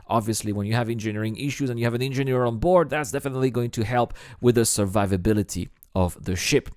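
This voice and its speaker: A man hosts a gaming explainer, reading out questions and answering them in a mid-pitched voice that is a little worn from being sick. The sound is close and dry, like a studio voice-over. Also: quick pace, darkish tone, no echo. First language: French. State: sick, voice strained from illness. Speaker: gaming video host. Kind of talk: scripted Q&A commentary over game footage.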